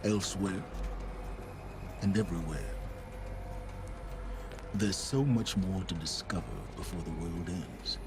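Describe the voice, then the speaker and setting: An actor playing an enigmatic, knowledge-hungry scholar in a video game, speaking in a measured, cryptic, dryly sardonic manner. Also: male voice, low-pitched, noisy background. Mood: detached, wistful. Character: enigmatic, knowledge-hungry male scholar confessing past guilt. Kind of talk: dramatic game cutscene acting.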